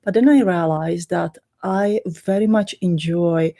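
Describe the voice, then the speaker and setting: A woman's voice: fairly low pitch, unhurried, warm tone. A middle-aged woman from the Czech Republic with a Czech accent, a native Czech speaker, explaining things casually and in a rambling way as an interview guest. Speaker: interview guest, energy healer.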